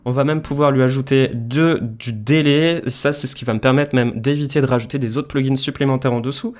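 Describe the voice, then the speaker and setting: A man's voice: medium pitch, steady tone, brisk, a little mellow. A man presenting a music production tutorial, explaining things informally, step by step. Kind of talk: casual screen-share software tutorial.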